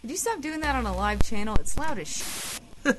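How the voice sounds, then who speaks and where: A woman's voice: a little high, lively intonation, normal speed, noisy background, lightly acted. A woman playing a dry, sarcastic, exasperated radio co-host in a comedic audio drama.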